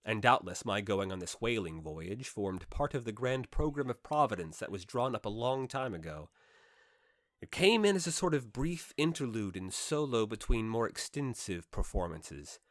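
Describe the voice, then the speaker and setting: A man reading a classic first-person novel aloud as an audiobook narrator, with theatrical, emphatic phrasing and dramatic pauses. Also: on the low side, sing-song, dry recording, clean studio sound.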